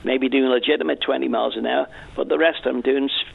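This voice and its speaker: Gravelly male caller on a phone line. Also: mid-range voice, fairly even intonation, fast, no echo. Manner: chatty, joking. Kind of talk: phone-in call, casual chat. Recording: dry tinny phone line.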